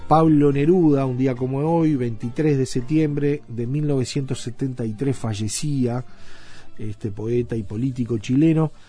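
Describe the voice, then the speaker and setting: A man's voice: mid-range voice, normal speed, mellow. A man with a Uruguayan accent hosting a radio show in a conversational, loosely phrased way, as in an introduction. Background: background music.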